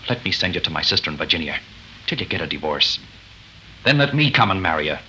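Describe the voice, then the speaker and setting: A gallant, quietly defiant gambler in an old radio melodrama. The delivery is earnest and deliberate, with a very bright tone and highly animated pitch. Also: male voice, a little hiss or hum, full character voice, rough recording. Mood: tender. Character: cool, gallant frontier card player, quietly defiant. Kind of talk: 1930s radio melodrama acting. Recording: old 1930s radio recording.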